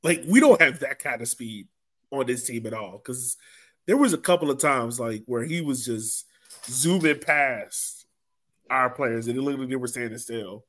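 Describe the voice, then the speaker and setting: A man's voice: medium pitch, normal speed, a bit bright. A man hosting a casual sports recap podcast in a conversational way, drawing others into the discussion. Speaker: podcast host.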